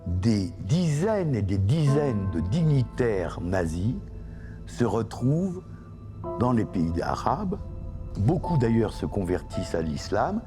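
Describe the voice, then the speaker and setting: Animated man over loud music. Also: fairly low pitch, a little slow. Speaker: documentary interviewee. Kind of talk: explanatory interview talk. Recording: loud music bed behind the voice.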